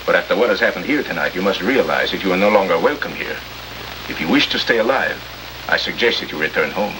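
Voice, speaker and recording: An actor playing a stern, courteous Latin police lieutenant in an old radio drama, speaking formally with polite menace. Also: male voice, deep voice, animated, quick pace. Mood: stern warning. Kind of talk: 1950s radio drama acting. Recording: noisy old radio recording, heavy hiss.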